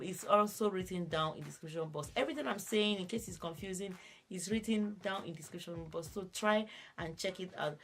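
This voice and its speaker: A woman's voice: on the low side, slight background noise. Woman with a cold-cracked voice. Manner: hesitant, many fillers, repeats herself. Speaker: beauty vlogger, host. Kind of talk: casual giveaway announcement.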